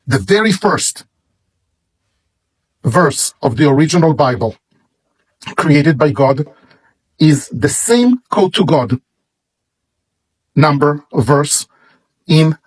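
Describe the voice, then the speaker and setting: A man with a Hebrew accent, a native Hebrew speaker, presenting and explaining in English with deliberate, halting phrasing in short pieces and a husky voice. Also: a little high, dry recording, poor audio. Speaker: presenter showing a book. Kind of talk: slow explanatory product presentation with read-out verses.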